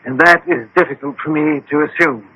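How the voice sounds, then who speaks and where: A wry brainy detective in an old radio play, highish raspy voice, skeptical, unflappable. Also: male voice, fairly quick, pushed and bright, rough recording. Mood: skeptical, thoughtful. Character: dry, skeptical male genius detective, scholarly and unflappable. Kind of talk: 1980s radio drama acting. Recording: old radio drama broadcast.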